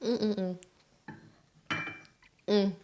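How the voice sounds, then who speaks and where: A young American woman in her twenties talks straight to camera about her design business. Her tone is chatty and candid, full of slangy asides and loose, conversational phrasing. Her voice sits at an ordinary middle pitch for a woman. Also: steady tone, extremely slow, background noise. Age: 20s. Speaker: freelance designer. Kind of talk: casual talking-head vlog.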